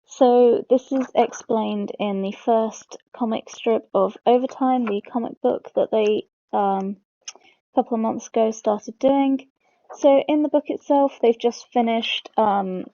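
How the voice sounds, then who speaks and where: A woman's voice: on the high side, steady tone, measured pace, dark tone, no room sound. A woman hosting a game lore video, explaining it informally as she goes. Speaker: host of a game lore channel. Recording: dull lo-fi close mic.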